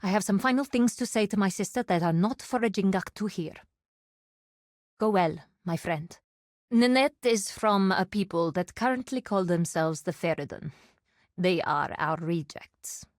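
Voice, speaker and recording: An actress voicing a proud, dutiful desert warrior woman in a video game, speaking in a formal, measured, solemn way. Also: mid-range voice, no room sound, clean studio sound, lightly acted. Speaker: game character.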